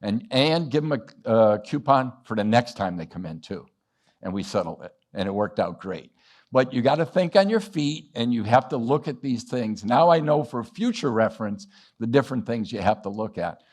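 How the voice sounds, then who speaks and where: A man's voice: medium pitch, expressive, normal speed, a little mellow. A middle-aged man from Western Massachusetts speaks to a room of business people about lessons from his shop. He tells his stories with acted-out snippets of dialogue and a ready chuckle. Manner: anecdotal, acts out dialogue, chuckles often. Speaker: business owner speaking at a summit. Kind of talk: storytelling keynote talk.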